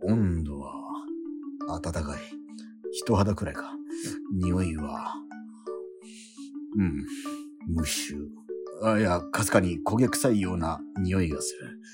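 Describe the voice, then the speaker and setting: A struggling painter from a radio drama, who talks half to himself and stammers when something startles him. His voice sits low and deep. Clear background music runs under his lines. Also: slow, long pauses. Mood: examining. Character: struggling unsold painter, man, puzzled and easily startled. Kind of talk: first-person radio drama, narrating and acting.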